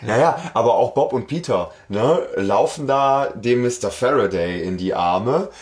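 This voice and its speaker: Guy on a chat podcast, lively up and down pitch, loose slangy talk. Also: a little slow. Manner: colloquial, informal, mildly coarse. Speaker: podcast co-host. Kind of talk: casual chat.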